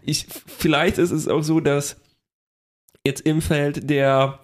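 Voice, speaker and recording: A young man from Poland, whose first language is Polish, talking in a relaxed, thoughtful conversation with many pauses and fillers. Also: fairly high pitch. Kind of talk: casual conversation.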